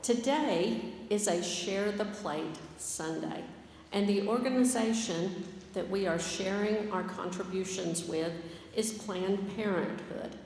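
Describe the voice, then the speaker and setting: Woman, mid-pitched, calm clear instructions, big reverberant room. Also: slow pace, slight background noise. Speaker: finance and operations director. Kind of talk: church announcement. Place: large church sanctuary. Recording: echoey hall with long reverb.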